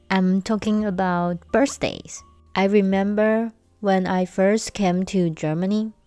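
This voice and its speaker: A young woman hosts her own podcast, telling personal stories with gentle humor. She talks at an unhurried, deliberate pace in a slightly low voice. The sound is dry, with faint music underneath. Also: no room sound. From Taiwan. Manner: slow, measured storytelling with light humor. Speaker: podcast host. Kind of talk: casual solo podcast chat.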